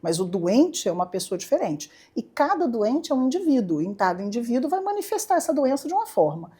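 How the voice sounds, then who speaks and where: A middle-aged woman neurologist from southeastern Brazil, guesting on a podcast with a Brazilian Portuguese accent and a lively, playful way of telling her story. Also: fairly high pitch, fairly quick, slightly rough. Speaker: neurologist and university professor, guest. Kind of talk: casual interview chat. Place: podcast studio.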